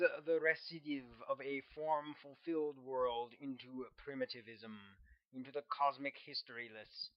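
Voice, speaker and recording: A man reading his own novel aloud as scripted audiobook narration, at a middling pitch. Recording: dull, lo-fi sound.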